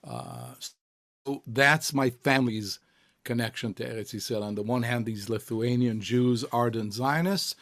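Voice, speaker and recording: Casting: older man raised in Israel, mid-pitched voice, reminiscing in an interview, stop-start delivery full of "uh"s. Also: expressive, fairly bright tone. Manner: halting, many fillers and restarts. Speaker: cantor, interviewee. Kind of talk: reflective interview answers.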